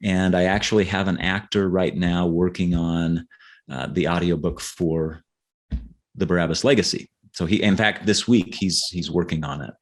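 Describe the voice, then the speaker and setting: A man's voice: low-pitched, fairly even intonation, normal speed. A middle-aged man chatting earnestly as an interview guest, often repeating words and checking in with "right?". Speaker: author guest. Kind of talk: casual interview chat.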